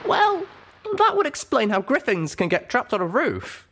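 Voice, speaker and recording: A man voicing a young female unicorn pony adventurer, excitable and sardonic, in an audiobook. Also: high-pitched, moderate pace, slight background noise, voice pushed up, exaggerated voice. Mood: dry sarcasm. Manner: exclamatory, sardonic. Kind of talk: audiobook character dialogue.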